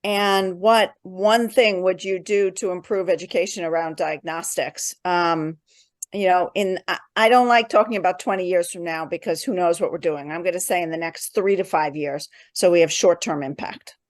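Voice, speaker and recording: A woman moderating an online panel discussion over a video call, brisk and upbeat as she moves the talk along. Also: lowish voice, steady tone, no room sound, studio quality.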